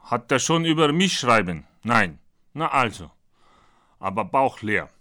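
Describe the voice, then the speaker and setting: A man voicing a blunt, naive Brazilian who talks in choppy, deadpan broken German, performed as a comic monologue. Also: mid-range voice, unhurried. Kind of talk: comic monologue read from his own book.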